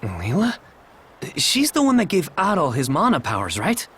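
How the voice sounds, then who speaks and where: A man playing a curious, excitable young companion in a video game. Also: a little high, normal speed, breathy edge, exaggerated voice. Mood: puzzled. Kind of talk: scripted video game voice acting.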